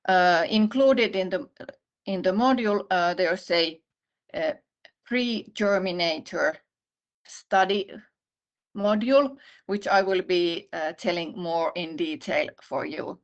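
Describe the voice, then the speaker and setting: Low-voiced Finnish woman presenting slides. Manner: measured, many "uh" pauses and restarts. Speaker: university vice-dean presenting. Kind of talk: online conference presentation. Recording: slightly muffled video call audio.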